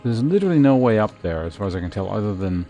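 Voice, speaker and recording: A man's voice: fairly low pitch, sing-song, fast, dark tone, soft background music. A man giving casual live game commentary as a streamer, thinking aloud and muttering to himself as he plays.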